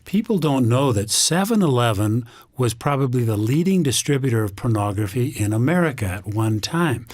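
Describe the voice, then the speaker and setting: A man's voice: mid-range voice, expressive, moderate pace, hardly any pauses, a little mellow, no room sound. Earnest man, radio interview guest. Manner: earnest and explanatory.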